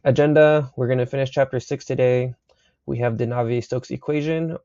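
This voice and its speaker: Raspy, gravelly young male lecturer. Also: mid-range voice, dark tone, dry recording. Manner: casual, thinks aloud with many fillers and "right?". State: raspy from late night. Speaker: university engineering instructor. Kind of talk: recorded lecture over slides. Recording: slightly dull dry recording.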